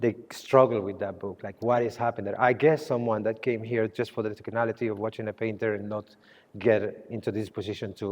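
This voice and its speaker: A man's voice: on the low side, steady tone. Spanish-born guy, english not his first language, keeps restarting sentences, big echoey room. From Spain. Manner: halting, searching for words, many restarts. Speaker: artist. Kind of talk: gallery conversation.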